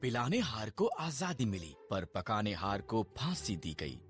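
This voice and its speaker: A man narrating a scripted children's Bible story in a deliberate, dramatic storytelling manner. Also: on the low side, sing-song, faint music behind.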